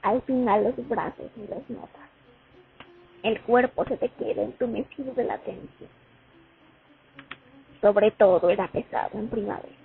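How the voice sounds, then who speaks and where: A woman's voice: fairly high pitch, steady tone, a little slow, vocal fry, pauses often, breathy edge, exaggerated voice. A woman playing a young Soviet sniper haunted by her war memories in a radio play. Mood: weary, reflective. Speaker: actor in a student radio drama. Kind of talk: dramatic first-person monologue, radio play. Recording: muffled phone-like recording.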